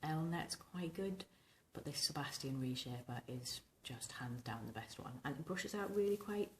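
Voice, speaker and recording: A woman in her thirties with a husky, rumbling, slightly hoarse voice, chatting casually and ramblingly through a hairstyling tutorial to camera. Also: lively intonation, moderate pace, echoey room, slight background noise. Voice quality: husky, rumbling, smoky voice from tonsillitis. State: recovering from tonsillitis, hoarse. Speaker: vintage hairstyling vlogger. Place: small domestic bedroom, indoors.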